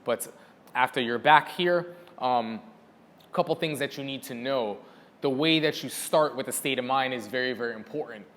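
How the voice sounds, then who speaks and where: A man's voice: mid-range voice, normal speed, fairly bright tone, in a large hall. A man who trains dogs, answering viewer questions in a casual teaching style and repeating words for emphasis. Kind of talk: casual instructional Q&A.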